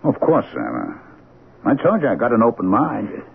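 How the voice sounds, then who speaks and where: An actor playing a folksy, gently skeptical man in a radio mystery drama, speaking haltingly in a husky, gravelly voice. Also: lowish voice, huge pitch swings, full character voice. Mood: reassuring. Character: folksy, open-minded, gently skeptical male confidant named Wiley. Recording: tinny old radio broadcast.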